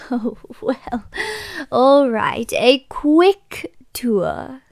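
A woman's voice: a little high, very slow, a little mellow, no echo, clean studio sound. A woman voicing a fussy, motherly enchanted household object, flustered and proper, in an audio drama.

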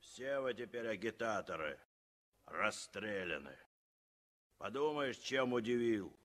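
Gruff, sharp-voiced navy boatswain. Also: male voice, lowish voice, lively intonation, slow. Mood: scornful. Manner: gruff, scornful. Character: gruff, hard-hearted navy boatswain, grumbling and bellowing. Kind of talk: 1960s Soviet radio drama acting.

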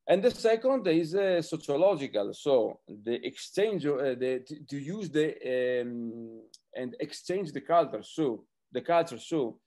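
A man's voice: fairly high pitch, dark tone. A man in his thirties, a native Italian speaker with an Italian accent, talking hesitantly as a panelist on a video call and searching for words. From Italy. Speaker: film director speaking on a panel. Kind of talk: roundtable talk in a second language. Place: small book-lined home room, indoors. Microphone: computer on a video call.

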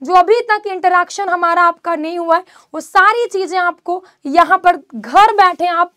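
A young woman exam-prep teacher speaking to students in an animated, direct way, gesturing in a studio with a lapel mic. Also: very high-pitched, measured pace, no room sound. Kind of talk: energetic online class announcement. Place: studio in front of a presentation screen.

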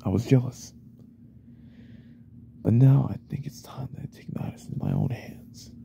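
A man acts out an unhinged yandere boyfriend in an ASMR roleplay. His voice is very dark and heavily creaky, often sinking to a breathy whisper. He is intense, possessive and controlling, with lively swings in pitch. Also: normal speed, a little hiss or hum, full character voice. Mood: agitated, controlling.

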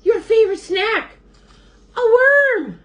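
A woman's voice: on the high side, sing-song, moderate pace, lo-fi sound, pitched above the performer's own voice. A woman voicing a friendly, generous male fox in a children's story, obliging and upbeat. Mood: excited.

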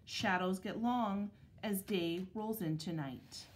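A woman teacher reading a picture book aloud to young children, with measured, deliberate phrasing. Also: mid-range voice, slight background noise.

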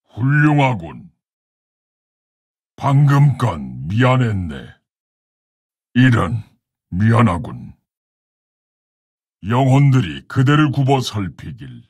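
An actor playing a proud, honorable orc warrior shaman in a video game, speaking in a gravelly, husky, solemn and commanding way. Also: mid-range voice, a little mellow, no room sound, clean studio sound, full character voice. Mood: solemn, calm. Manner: solemn, commanding, archaic formal phrasing. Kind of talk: video game character battle lines.

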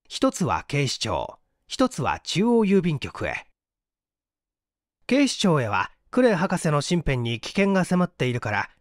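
A man's voice: fairly high pitch, dry recording, clean studio sound. A man narrating a scripted story dramatically as an audiobook reader.

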